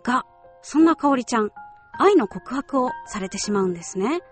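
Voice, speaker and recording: A woman's voice: fairly high pitch, lively intonation, moderate pace. Woman hosting a radio show over music. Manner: explanatory, courteous, addresses listeners directly. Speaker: radio show host, voice actress. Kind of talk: polite solo radio show presentation.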